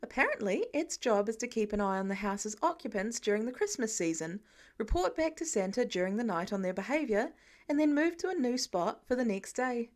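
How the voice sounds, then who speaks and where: A woman's voice: a little high, very animated, brisk. A woman reading scripted fiction aloud as the narrator of an audio story. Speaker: fan fiction podfic narrator.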